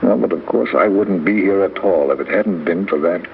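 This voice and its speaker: An old actor's inner thoughts, very deep, musing, lo-fi radio hiss. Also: male voice, moderate pace, vocal fry. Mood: wry. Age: 60s. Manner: reflective, musing inner monologue. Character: aging male actor's inner voice, rueful, worried. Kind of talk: 1960s radio drama acting. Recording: dull lo-fi vintage radio with faint hiss.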